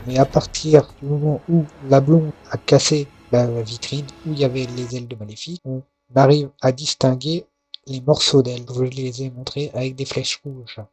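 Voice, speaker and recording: A man's voice: medium pitch, fairly even intonation, moderate pace, darkish tone, husky, rough recording. A man doing voice-over commentary on film clips as a host, explaining things and putting questions to viewers. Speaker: host of a film goofs video series. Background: background music.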